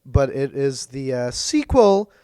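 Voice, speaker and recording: A man with a hoarse, worn voice from being ill chats loosely as a podcast co-host, drifting and correcting himself as he goes. His pitch sits in an ordinary middle range. The sound is dry and close, with no room echo. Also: very animated, slow, mellow. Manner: meandering, hesitant, self-correcting. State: hoarse, losing his voice from illness. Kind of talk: casual chat.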